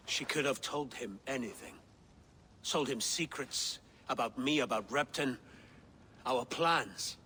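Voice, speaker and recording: A man's voice: medium pitch, takes it slowly, pushed and bright, slight background noise. A man playing a solemn, aggrieved middle-aged claimant to a crown in a video game, speaking formally and insistently. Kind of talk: dramatic video game acting.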